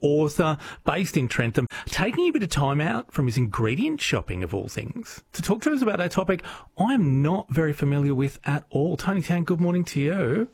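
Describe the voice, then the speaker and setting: A man's voice: a little high, very animated, moderate pace, a little mellow, husky. An Australian man hosting a casual radio chat, upbeat and chatty, laughing easily. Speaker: radio host.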